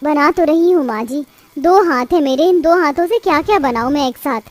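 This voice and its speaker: A woman's voice: sits high, normal speed, no echo, natural voice. A woman playing an irritable, complaining young daughter-in-law in a moral-story cartoon, with a scolding, exasperated manner.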